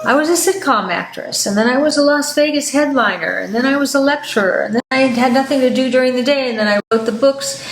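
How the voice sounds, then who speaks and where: An older woman hosting a podcast, talking in a chatty, animated way with many fillers. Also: high voice, fast talker. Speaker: podcast host. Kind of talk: casual health talk. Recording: echoey room with faint noise.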